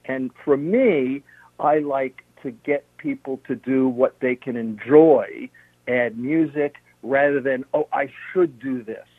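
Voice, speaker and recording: A man's voice: mid-range voice, takes it slowly, husky, no echo. A man on a radio interview, steadily explaining health tips and listing points one by one. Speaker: health practitioner guest. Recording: narrow phone-line sound.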